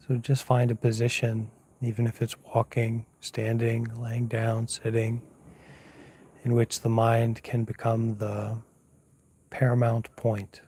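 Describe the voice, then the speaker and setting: Slow, husky man guiding a meditation. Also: medium pitch. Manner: hushed, unhurried, pausing often, gently instructive. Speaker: Buddhist monk, meditation teacher. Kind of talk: unscripted guided meditation. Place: outdoors at night, lying down under a mosquito net.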